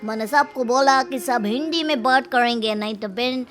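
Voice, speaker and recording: A woman's voice: fairly high pitch, moderate pace, soft background music, voice pushed down, close to an everyday voice. A woman voicing a simple, eager young man, a cartoon bride's brother, with childlike, broken phrasing. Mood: excited, welcoming.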